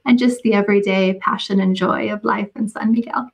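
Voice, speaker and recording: A woman novelist speaking as a podcast guest, answering conversationally in a measured, articulate manner. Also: medium pitch, steady tone, gravelly. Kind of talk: conversational anecdotal interview answers.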